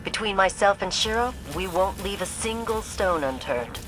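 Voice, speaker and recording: An older woman acting as a learned scholar in a cinematic game, composed and precise with gentle dryness. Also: medium pitch, animated, moderate pace, noisy background, natural voice. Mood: dry, reassuring. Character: learned older female scholar, calm and dryly wise.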